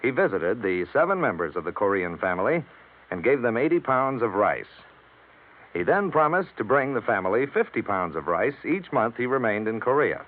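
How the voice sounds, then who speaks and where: A male announcer delivers a scripted public service message in earnest, measured oratory. His intonation moves in lively swings. The old radio recording is narrow and tinny, like a phone line. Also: fairly low pitch.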